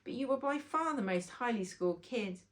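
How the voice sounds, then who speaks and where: Woman voicing a lively, kindly old grandad bee. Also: a little high, moderate pace, pitched above the performer's own voice, lightly acted. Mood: encouraging. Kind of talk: children's storybook character voice.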